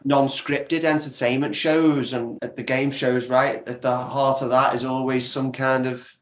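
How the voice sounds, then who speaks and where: In a clearly reverberant room, a man of medium pitch chats as an interview guest. His delivery is informal and conversational, like someone explaining their work. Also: flat delivery, unhurried. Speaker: podcast guest, audio drama maker. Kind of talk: casual interview chat. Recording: roomy, echoey sound.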